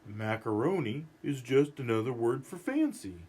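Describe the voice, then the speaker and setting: A man voicing a patient pony who gently coaxes and suggests things in a storybook read-aloud. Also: medium pitch, sing-song, normal speed, breathy edge, voice pushed down. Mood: patient coaxing. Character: mild, coaxing pony who turns melodramatically wounded.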